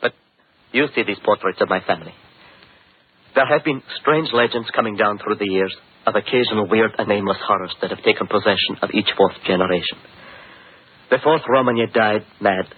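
An actor playing a cultured, haunted count, formal and brooding, in a 1940s radio horror drama. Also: male voice, lowish voice, fairly quick, slightly rough, phone-quality sound. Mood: troubled, brooding. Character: cultured, haunted Carpathian count, fearful of madness. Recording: thin 1940s radio recording.

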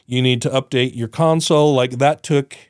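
A man's voice: mid-range voice, fairly even intonation, normal speed, clear, resonant tone, no echo. Congested guy on a podcast, kinda nasal, rambly and sweary, laughs a lot, dry close mic. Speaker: podcast co-host. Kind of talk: casual chat.

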